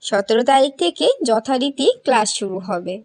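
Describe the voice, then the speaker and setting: A woman playing a kindly cartoon teacher with a high voice, announcing to her class in a motherly way. The sound is dry and close. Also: lively intonation, moderate pace, no echo. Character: kindly schoolteacher announcing the holidays. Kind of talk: cartoon voice acting.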